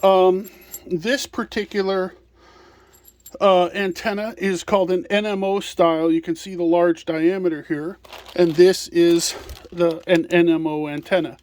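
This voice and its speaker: Higher-pitched man giving a product tutorial. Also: little pitch movement, unhurried, a little mellow. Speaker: product presenter and small business owner.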